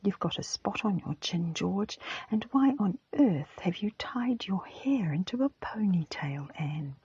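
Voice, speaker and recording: A woman's voice: medium pitch, expressive, measured pace, a little mellow, highs cut off. Woman whispering as Dick, a cheeky schoolboy. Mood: teasing. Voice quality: breathy whisper. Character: breezy, teasing schoolboy on holiday, Dick. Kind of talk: whispered storybook character reading.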